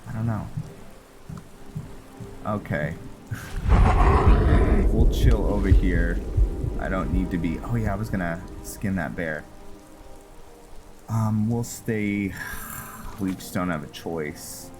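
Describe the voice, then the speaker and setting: Low-voiced unhurried male gamer narrating play, game music and rain behind him. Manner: relaxed, musing aloud with frequent ums. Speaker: gamer playing a roleplay let's play. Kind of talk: casual gameplay commentary. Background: game music and rain sounds.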